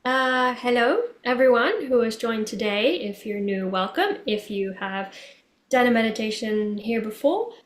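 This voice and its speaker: A woman's voice: on the high side, animated, a little slow. Woman from London in an echoey room. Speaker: guest presenter. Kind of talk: brief self-introduction. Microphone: video call.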